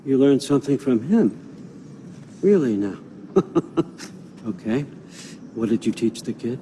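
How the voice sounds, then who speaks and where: Mellow, scheming old god. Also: male voice, mid-range voice, sing-song, a little slow, a little hiss or hum, rough recording. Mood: sly, needling. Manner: wry, persuasive, conversational. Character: scheming, folksy old male god, coaxing and commanding. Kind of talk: cinematic video game acting.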